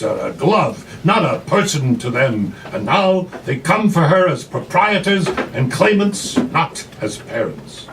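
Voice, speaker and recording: A man giving an expressive, dramatic reading of literary fiction to a live audience in a cafe, with weighted pauses. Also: medium pitch. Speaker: actor reading a novella aloud. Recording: noisy live room, distant mic.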